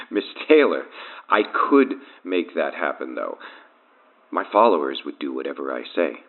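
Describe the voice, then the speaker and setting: An actor playing a powerful, coolly arrogant cult leader in an audio drama, with measured, taunting delivery and a deep, gravelly voice on a phone line. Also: male voice, very animated, heavily acted. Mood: calm menace. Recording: thin narrow phone line.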